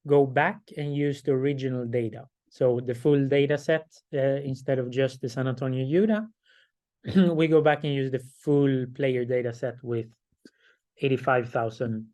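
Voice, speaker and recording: A middle-aged man, hoarse and losing his voice, giving a class lecture and explaining each step slowly, often checking with "right?". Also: mid-range voice, darkish tone. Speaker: instructor.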